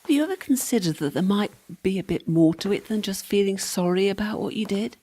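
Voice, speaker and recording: A woman's voice: medium pitch, animated, brisk, dark tone, slightly rough. An actress playing the ghost of a serving girl in a radio play, practical and teasing, with a dry, matter-of-fact manner. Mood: coaxing, brisk.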